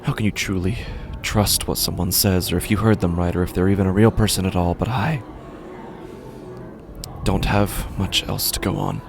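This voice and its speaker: A man narrating a first-person horror story in a halting, uneasy way, often breaking off mid-sentence, over a music score. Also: sits low, expressive, gravelly. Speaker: podcast narrator.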